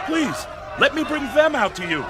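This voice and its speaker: A man's voice: very high voice, very animated, moderate pace, a crowd in the background, noisy background. An actor playing an anxious, deferential man in a dramatized audio Bible, imploring with urgent emphasis. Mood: desperate pleading.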